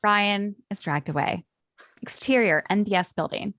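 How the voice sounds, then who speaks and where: A woman's voice: medium pitch, normal speed, a bit bright, dry recording. Woman narrator, dry close sound. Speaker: stage directions reader. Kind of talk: scripted narration at a table read.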